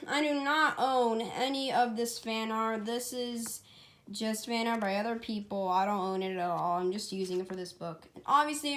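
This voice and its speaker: A woman reading a story aloud as its amateur author, in an informal way, occasionally stumbling over the text. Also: mid-range voice. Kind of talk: casual storybook read-aloud.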